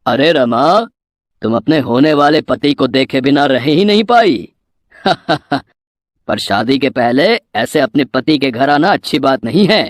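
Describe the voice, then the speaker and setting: A man's voice: medium pitch, expressive, unhurried, no echo, studio quality, natural voice. A man playing a greedy, bullying village moneylender in a cartoon moral story, smug and menacing in manner. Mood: smug, teasing.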